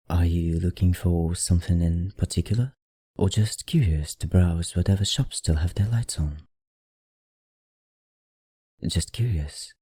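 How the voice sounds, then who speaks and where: A man playing a courteous, teasing, ancient vampire librarian in an ASMR roleplay, speaking genteelly with a put-on accent in a low, husky voice. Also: dry recording. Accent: put-on, not his own. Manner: genteel, old-fashioned, softly teasing. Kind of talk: ASMR roleplay, addressing the listener.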